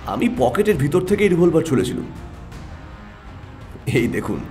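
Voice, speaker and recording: A man playing a calm, shrewd and confident detective in an audio drama, speaking in a composed, deliberate way. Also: fairly high pitch, faint music behind.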